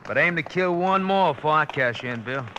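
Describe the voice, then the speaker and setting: An actor playing a wry, unrepentant captured gunslinger in an old radio western, folksy and sardonic. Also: male voice, a little high, lively intonation, moderate pace, sharp tone, lo-fi sound. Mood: grim, defiant. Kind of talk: 1950s radio western acting. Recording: slightly noisy old radio recording.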